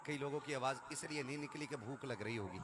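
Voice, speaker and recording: A man preaches an Islamic sermon to a live gathering. His voice sits at a middle pitch. He talks conversationally, slips into devotional verse and urges his listeners to respond. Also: fast, noisy background.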